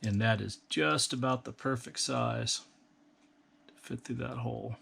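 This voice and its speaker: A man calmly explaining a hands-on build step by step, in a practical, methodical way. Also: mid-range voice, measured pace, creaky, husky. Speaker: instructor. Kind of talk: step-by-step how-to instruction.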